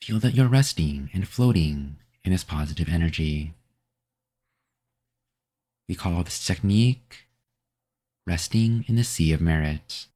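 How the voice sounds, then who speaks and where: A man with a very deep, soft-edged, mellow voice guides a meditation. He reads scripted instructions calmly and gently. His phrasing is measured, with pauses that leave room to follow along.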